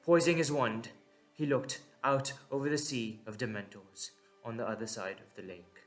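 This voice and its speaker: A man narrating a dramatic story in short, measured, suspenseful phrases. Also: medium pitch, steady tone, faint music behind.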